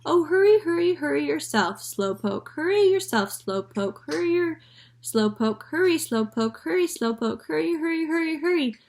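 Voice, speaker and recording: A woman's voice: expressive, normal speed, gravelly, a little mellow. A woman voicing a rude, bossy pet parrot that squawks and repeats its taunts. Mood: squawking taunts. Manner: shrill, repeats taunts over and over. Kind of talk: storybook read-aloud.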